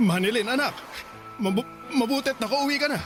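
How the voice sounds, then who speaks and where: A man's voice: very high-pitched, very animated, a little slow, soft background music, exaggerated voice. A man playing a boastful, freeloading older father with a Filipino accent in a radio drama. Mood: sheepish, ingratiating.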